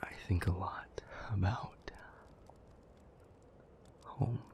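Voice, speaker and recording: A man playing a weary, gentle demon in an intimate audio roleplay, speaking breathily and earnestly with halting pauses. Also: steady tone, muffled recording, heavily acted. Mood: wistful, reflective. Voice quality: breathy, whispery, low. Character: weary, gentle male demon, homesick and protective.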